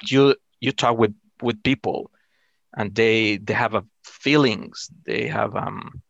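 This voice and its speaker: A middle-aged man from El Salvador with a Salvadoran Spanish accent, answering casually in halting English, often repeating and rephrasing his words. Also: lowish voice, gravelly, no room sound, lo-fi sound. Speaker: interview guest, amateur astronomer.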